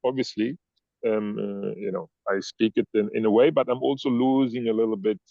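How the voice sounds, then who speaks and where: A man's voice: fairly low pitch, steady tone, fairly quick, vocal fry, no echo, phone-quality sound. A man whose first language is German and whose accent is a mix of German and Italian, talking casually as an interview guest, rambling and searching for words. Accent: mixed German-Italian. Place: Ibiza.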